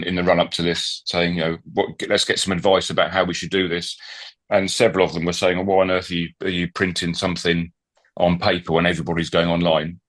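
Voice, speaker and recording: A man's voice: low-pitched, a bit bright. A middle-aged man being interviewed on a podcast, talking casually with frequent ums, restarts and repeated words. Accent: non-local, not from Swansea. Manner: hesitant, many fillers and restarts. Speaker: podcast guest, newspaper founder.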